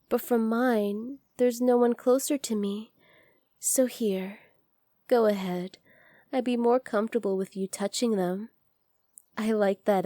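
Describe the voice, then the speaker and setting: A woman voicing a devoted, clingy kitsune fox spirit in an ASMR roleplay, speaking softly and intimately straight to the listener. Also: fairly high pitch, dry recording, studio quality, close to an everyday voice. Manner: soft, tender, intimate, addressing the listener directly.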